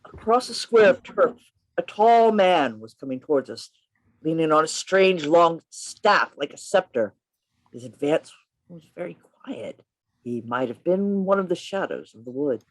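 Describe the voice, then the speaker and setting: A woman's voice: sits low, expressive, a little slow, low-quality recording. A woman playing an earnest male poet-policeman who narrates his strange adventure in an amateur radio play reading. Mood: suspenseful narration. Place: remote reading over a video call.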